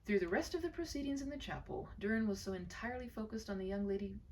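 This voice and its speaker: A woman reading a story aloud in an expressive, dramatic way, tender and hushed, with pauses for effect. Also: medium pitch, distant mic, a little hiss or hum, poor audio. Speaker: storyteller reading her own short story.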